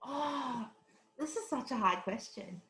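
A woman's voice: fairly high pitch, animated, measured pace, gravelly, a bit bright, roomy sound. A woman in her twenties, raised in South Africa, with a light Australian accent, telling stories animatedly as an interview guest and laughing often. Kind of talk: casual interview chat.

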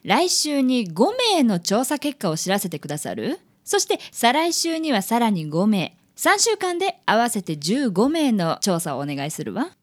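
Radio drama rich lady acted by a woman: high pitch, sweeping intonation, haughty, refined, coolly teasing. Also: sing-song, normal speed, few pauses. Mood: coolly demanding. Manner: refined, polite feminine speech.

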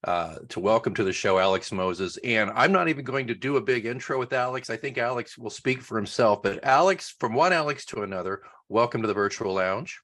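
A man's voice: medium pitch, expressive, brisk, hardly any pauses, fairly bright tone. A middle-aged man hosting a business podcast, making earnest, friendly appeals to listeners and introducing his guest. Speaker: podcast host. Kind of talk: podcast host talk.

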